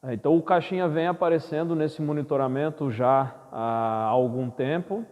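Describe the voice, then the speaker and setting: A man with a Brazilian accent, a football club executive giving measured, formal answers in an interview. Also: fairly high pitch, long reverb tail, muffled recording. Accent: Brazilian Portuguese.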